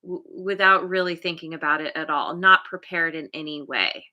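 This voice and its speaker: A woman's voice: deep voice, moderate pace, sharp tone, muffled recording. A woman giving a casual tarot reading, talking in a hesitant way with many fillers and restarts and laughing at herself now and then. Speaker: tarot reader.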